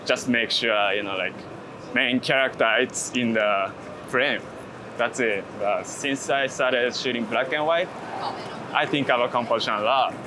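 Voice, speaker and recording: A man talks about his photography in hesitant, second-language English, pausing and restarting often. He's outdoors on a city street with traffic noise plainly audible. His pitch sits in an ordinary middle range. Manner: halting English with many fillers and restarts. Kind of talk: casual walking interview.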